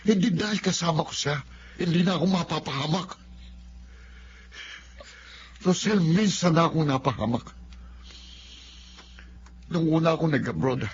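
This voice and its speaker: A man's voice: fairly high pitch, moderate pace, long pauses, a little hiss or hum, close to an everyday voice. A man with a Filipino accent acting in a radio drama as an emotional, earnest husband and father haunted by past abuse. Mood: defensive. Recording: muffled lo-fi audio with music behind.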